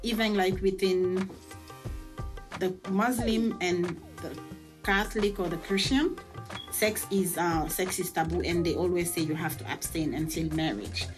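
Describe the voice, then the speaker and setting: A woman's voice: on the low side, unhurried, music in the background. A woman community worker speaking hesitantly and searching for words in an interview.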